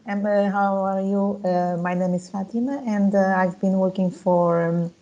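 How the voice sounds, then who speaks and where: A woman introducing her career on a video-call panel, halting and searching for words. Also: on the low side, dark tone, slight background noise, poor audio. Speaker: analytics professional, panelist.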